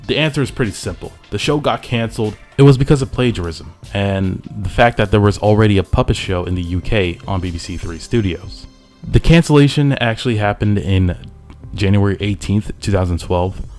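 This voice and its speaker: A man with a fairly low voice delivers a loosely scripted review. He talks informally, as if chatting to viewers, while light music plays behind him. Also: darkish tone. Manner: informal, conversational. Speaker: video essayist, TV show reviewer. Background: background music.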